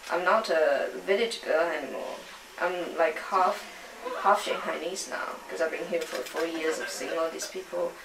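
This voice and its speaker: A woman's voice: fairly low pitch, normal speed, gravelly. A young woman from China, speaking English as an in a reflective documentary interview, searching for her words. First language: Chinese. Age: young adult. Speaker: poet and singer. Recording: roomy, distant mic, faint noise.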